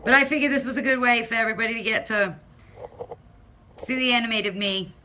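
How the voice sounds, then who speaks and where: A middle-aged woman from Massachusetts with a non-rhotic local accent, chatting casually on a phone live stream from her home, loud and talking to viewers by name. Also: on the high side, brisk, slight background noise. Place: home, by a window. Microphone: phone held at arm's length.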